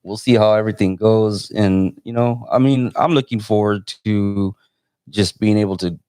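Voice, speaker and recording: A low-voiced man in his forties hosts a live interview and talks in an easygoing, rambling way. He comes from San Jose, California. His voice is dry and close, with no room sound. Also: fairly even intonation, moderate pace, mellow, clear, resonant tone, dry recording. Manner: laid-back, meandering. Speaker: host.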